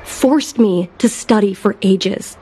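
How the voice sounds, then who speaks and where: A woman's voice: mid-range voice, takes it slowly, mellow, a little hiss or hum, natural voice. An actress voicing a spirited, headstrong young woman who longs to fly, in a chatty, eager video game style. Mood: aggrieved. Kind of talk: video game character voice acting.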